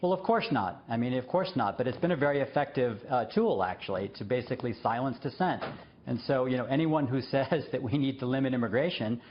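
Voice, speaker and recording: A man's voice: medium pitch, lively intonation, fast talker, lo-fi sound, a little hiss or hum. A man appearing as a guest on a news show, speaking earnestly with frequent fillers and short laughs. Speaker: guest, journalist and former diplomat. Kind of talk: remote interview.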